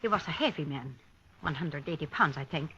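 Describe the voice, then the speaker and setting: Hesitant abandoned wife, bright voice. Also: female voice, low-pitched, animated, slightly rough, full character voice. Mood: hesitant, recalling. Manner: halting, plain-spoken, short phrases. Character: bitter, hesitant abandoned wife questioned by police. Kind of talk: 1950s radio drama acting. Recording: dull lo-fi vintage radio broadcast.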